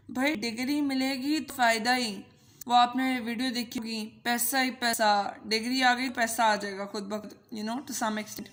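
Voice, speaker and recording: A woman's voice: on the high side, a bit bright. A woman with a Pakistani accent gives an informal explanatory lecture, playful and conversational, mixing languages, her voice run through a voice changer. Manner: playful, code-switching, conversational. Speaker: teacher and channel host.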